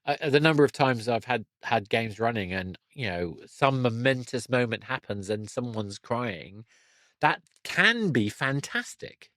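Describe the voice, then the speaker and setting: A man's voice: mid-range voice, lively intonation, measured pace, fairly bright tone, dry recording. A man chatting casually as a podcast host, thinking aloud and often correcting himself mid-sentence. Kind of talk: casual conversational interview.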